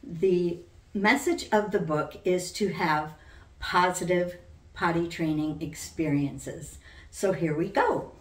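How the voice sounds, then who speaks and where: An older woman, around her sixties, reading a children's book aloud as its author with deliberate, dramatic storytelling. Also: lowish voice. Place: living room at home, indoors. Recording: roomy, distant from the mic.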